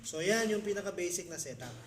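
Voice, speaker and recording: A man's voice: sits high, animated, fast talker, background noise. A man with a Filipino accent informally explaining sound mixing, hands-on, as an instructor. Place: indoor group seminar. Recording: room with people chattering.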